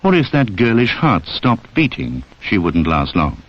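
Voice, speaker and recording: A man's voice: fairly low pitch, huge pitch swings, unhurried, husky. A man narrating a 1960s instructional film in a scripted, direct style that addresses the listener. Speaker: narrator of a public information film. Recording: dull band-limited 1960s film soundtrack.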